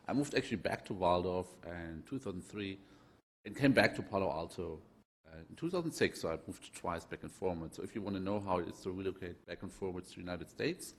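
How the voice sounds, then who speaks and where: A man with a German accent introducing himself on a panel, chatty and self-deprecating with halting restarts. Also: low-pitched. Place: auditorium.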